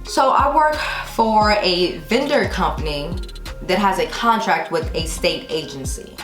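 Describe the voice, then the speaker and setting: An American woman in her thirties with a fairly low voice talks casually to camera. She is chatty and conversational, tossing in playfully emphatic asides. The room echoes clearly and music plays behind her. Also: animated, a little slow, fairly bright tone. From Texas, United States. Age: 30s. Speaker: YouTube vlogger. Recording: roomy echo with music behind. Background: background music.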